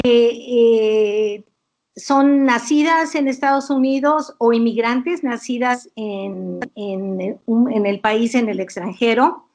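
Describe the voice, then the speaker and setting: A woman giving an explanatory interview as an academic expert, in a didactic, deliberate way with hesitant pauses. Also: on the high side.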